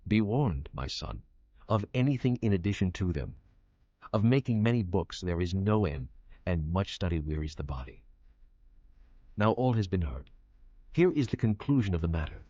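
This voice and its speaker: A man performs as a grave, solemn narrator of scripture with a low-pitched voice. He reads in measured phrases for an audio Bible. The sound is somewhat dull. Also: big pitch swings, warm tone. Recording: dull, roomy, muffled audio.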